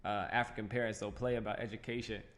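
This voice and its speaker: A man's voice: fairly low pitch, level tone, fast talker, a bit bright. A man hosting a casual interview, asking friendly, easygoing questions with small hesitations. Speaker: interview host.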